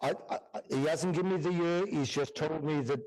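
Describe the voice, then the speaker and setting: A man's voice: fairly high pitch, fairly quick, a little mellow, rough recording. A man working as a guest medium in a church service, speaking to audience members with frequent repetitions and "do you understand?" check-ins.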